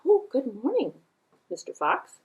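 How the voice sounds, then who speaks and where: A woman puts on a genteel lady from a puppet show. She is high and airy, gracious, agreeable and quick to apologise, with a lively rise and fall. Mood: obliging.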